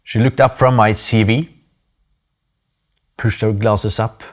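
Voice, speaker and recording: A man's voice: lowish voice, fairly even intonation, slow pace. Norwegian man telling his life story. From Norway. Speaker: speaker giving a talk. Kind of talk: personal story talk.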